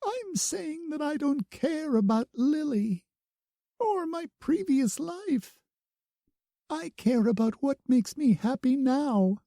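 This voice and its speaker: An audiobook narrator voicing a young woman who is crying, anguished and shrill. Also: creaky, dark tone, husky, dry recording, studio quality, exaggerated voice. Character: young human woman, tearful, fierce.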